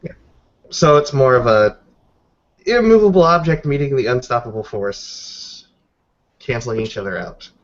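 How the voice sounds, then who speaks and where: A man narrating a tabletop roleplaying game in a halting way, pausing between phrases. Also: medium pitch, animated, highs cut off.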